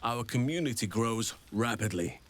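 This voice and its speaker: An actor playing a gentle, wise old druid man in a fantasy video game, speaking in formal, measured, earnest sentences. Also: medium pitch, animated, close to an everyday voice. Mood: earnest, proud. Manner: formal, measured, eloquent speech.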